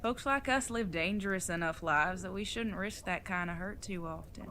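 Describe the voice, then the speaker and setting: Woman playing bright-voiced, wry old outlaw mother. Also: lowish voice, moderate pace, a little hiss or hum. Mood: reassuring, frank. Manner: folksy, plain-spoken, teasing, rural idiom. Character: retired outlaw mother, older, wry, folksy and affectionate. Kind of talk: audio drama acting.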